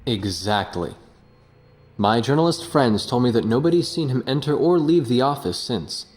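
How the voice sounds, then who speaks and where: An actor playing an earnest, sober war journalist in scripted video game narration and dialogue. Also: male voice, mid-range voice, expressive, normal speed, a little mellow, a little hiss or hum, natural voice. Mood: confiding.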